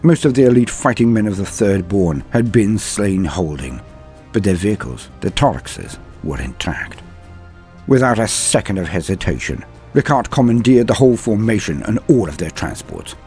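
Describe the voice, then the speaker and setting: A man narrating a war fantasy tale in a solemn, theatrical way, with weighty pauses and a husky voice. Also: fairly low pitch, animated, a little mellow, soft background music. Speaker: storyteller, channel narrator.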